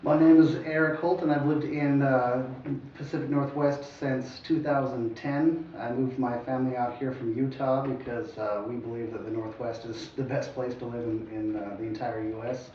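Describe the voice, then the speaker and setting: A man's voice: medium pitch, level tone, normal speed, few pauses, dark tone, a little hiss or hum. A man introducing himself as a candidate, speaking hesitantly with many filler sounds. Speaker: candidate on a panel. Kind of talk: panel self-introduction. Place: public meeting room. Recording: echoey room from far off, dull.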